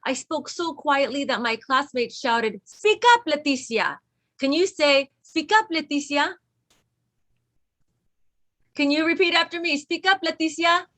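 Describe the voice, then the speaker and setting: Female read-along leader with a high-pitched voice, encouraging young listeners and prompting them to join in. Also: a little slow, fairly bright tone. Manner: encouraging, asks children to repeat. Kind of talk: reading a children's book aloud to pupils.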